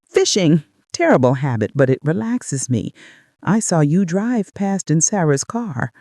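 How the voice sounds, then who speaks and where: A woman's voice: fairly low pitch, big pitch swings, a little slow, dark tone, no room sound, clean studio sound. A woman voicing a smug blackmailing older man. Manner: smooth, mocking and smug.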